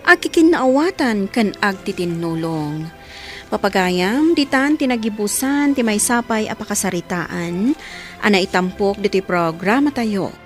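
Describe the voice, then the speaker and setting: A woman's voice: medium pitch, animated, a little slow, soft background music. A woman with a Filipino accent giving scripted closing narration as host of a radio drama program.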